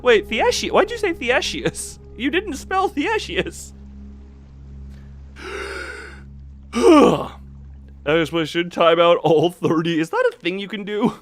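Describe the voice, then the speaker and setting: A man's voice: high voice, big pitch swings, slow, long pauses. A man chatting casually on a livestream as host, exclamatory and playfully exasperated. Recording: music behind the voice. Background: loud background music.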